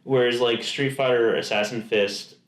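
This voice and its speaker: A man co-hosting a casual podcast, talking at an ordinary pitch in a roomy, echoing space. He rambles, restarts his sentences and slips into quoted asides as he makes his points. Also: fairly even intonation, normal speed. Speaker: podcast co-host. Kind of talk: casual chat. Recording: echoey room.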